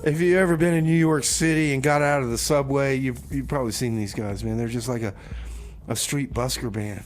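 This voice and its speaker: A man's voice: medium pitch, steady tone, fairly quick, slight background noise. A man hosting a community radio music show, casually back-announcing in a conversational style.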